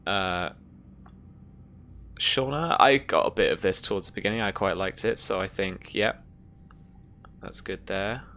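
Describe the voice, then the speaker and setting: A man's voice: low-pitched, unhurried, gravelly, pauses often, sharp tone. A man running a tabletop game, talking casually to his players as game master.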